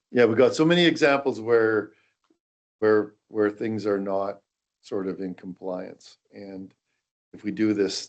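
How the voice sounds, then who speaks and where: A male town councillor raising concerns in a council debate, speaking earnestly and repeating words as he thinks aloud. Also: fairly low pitch.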